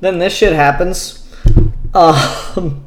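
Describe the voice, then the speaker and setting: A man's voice: sits high, drawn-out words, a little hiss or hum. A man hosting a casual, unscripted review vlog, talking in a candid, rambling way with the odd swear word. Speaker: comic book reviewer, show host. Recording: echoey room.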